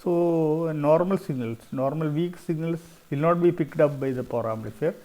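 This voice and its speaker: A man's voice: on the high side, moderate pace, warm tone, rough recording. A middle-aged man with a South Indian Malayali accent explains slides as a lecturer, often repeating phrases for emphasis. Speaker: engineering lecturer.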